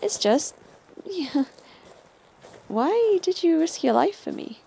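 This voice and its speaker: A woman's voice: high voice, big pitch swings, slow pace, vocal fry, mellow, a little hiss or hum, full character voice. An actress playing a cautious, practical young female survivor in an audio drama, speaking in a hesitant, guarded way. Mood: guarded, curious.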